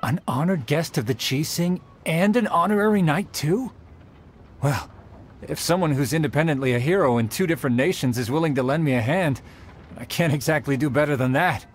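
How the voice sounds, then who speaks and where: An actor playing an earnest, dutiful young soldier in a fantasy video game, speaking formally and courteously in measured phrases. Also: male voice, fairly high pitch, slight background noise, natural voice. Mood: respectful. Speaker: game character giving quest briefings. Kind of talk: scripted video game dialogue.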